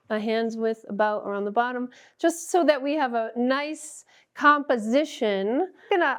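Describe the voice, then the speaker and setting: A patient, encouraging middle-aged woman guides a step-by-step drawing lesson. Her voice sits high and she takes her time, with faint music under her. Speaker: art teacher and show host.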